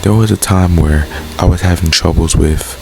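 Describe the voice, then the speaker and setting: A man's voice: low voice, moderate pace, gravelly, darkish tone. A young American man talking earnestly and candidly about his life, repeating phrases for emphasis. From United States. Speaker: vlogger and poet. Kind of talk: reflective personal monologue. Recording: background music.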